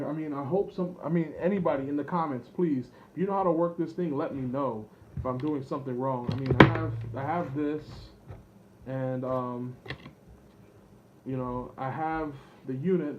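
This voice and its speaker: A man thinking aloud through an unscripted product demo, hesitant, rambling and unsure of himself. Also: mid-range voice. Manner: hesitant, rambling, self-doubting. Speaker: online seller demonstrating vintage electronics. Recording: dull lo-fi camera audio with background noise.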